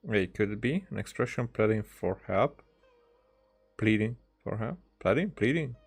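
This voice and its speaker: A man's voice: lowish voice, lively intonation, normal speed, husky. Man muttering casual game reactions over music. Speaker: gaming streamer.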